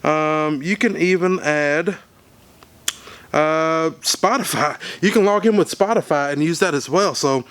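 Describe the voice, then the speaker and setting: A man's voice: a little high, measured pace, fairly bright tone, clear, resonant tone. A congested man casually explaining DJ gear in a how-to vlog, with lots of uh and um fillers. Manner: rambling with frequent uh and um fillers. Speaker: DJ and vlogger. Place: at an event venue beside a PA speaker.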